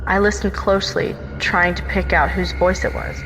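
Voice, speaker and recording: A woman's voice: lowish voice, steady tone, normal speed, vocal fry, a bit bright. A woman reading a scripted first-person horror story as its narrator. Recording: long reverb, prominent music bed.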